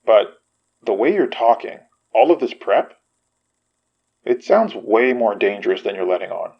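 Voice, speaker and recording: Young friend, audio drama, creaky voice, medium pitch, caring, wry, skeptical, slightly dull recording. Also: male voice, very animated, a little slow, full character voice. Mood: concerned. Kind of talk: naturalistic audio drama acting.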